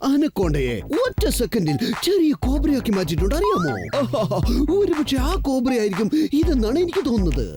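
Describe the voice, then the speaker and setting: An actor voicing an excitable old male mayor who boasts and stammers. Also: medium pitch, fairly quick, close to an everyday voice. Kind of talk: cartoon dubbing. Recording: music behind.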